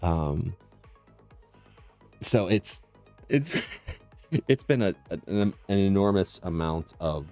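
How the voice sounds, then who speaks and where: A low-voiced man casually hosting a painting livestream, rambling and restarting his sentences as he works. He talks close to the mic, and faint music plays behind him. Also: lively intonation, slow pace, pauses often, a little mellow. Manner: rambling, self-interrupting, explains as he works. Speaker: livestream host and digital painter. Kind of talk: casual live-stream chat while painting. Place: small room with tile floors. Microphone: close condenser mic with processing.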